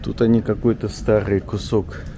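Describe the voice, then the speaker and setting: A man talking casually as a journalist vlogger on a walk through an old town street, rambling and chatty, often pausing on filler words. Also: on the low side, little pitch movement, background noise. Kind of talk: casual walking vlog chat.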